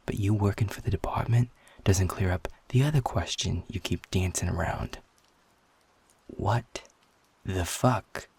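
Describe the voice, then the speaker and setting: A man playing a guarded, sarcastic, defiant werewolf in an intimate audio roleplay, talking bluntly with a husky, gravelly voice. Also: low-pitched, a little mellow, full character voice. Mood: suspicious, demanding. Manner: sardonic, blunt, swearing, confrontational.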